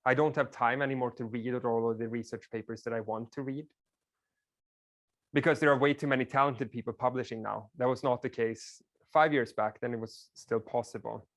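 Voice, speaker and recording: A man's voice: medium pitch, fairly even intonation, fairly quick, fairly bright tone. Swedish man lecturing casually. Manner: casual, explanatory, with filler "like". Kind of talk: classroom lecture.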